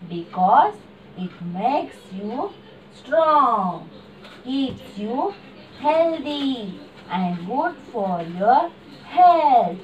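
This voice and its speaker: A soft, patient mother puppet, voiced by a woman, quiet and lower than her own voice. She speaks slowly, from across the room. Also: very animated, highs cut off, a little hiss or hum, exaggerated voice. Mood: gentle. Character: gentle soft-spoken mother. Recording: distant room mic.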